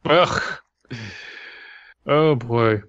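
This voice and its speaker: A man's voice: medium pitch, extremely slow, fairly bright tone, smooth, dry recording, highs cut off. A man co-hosting a podcast, chatting casually and reading stories aloud with wry, grumbling humor. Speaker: podcast co-host.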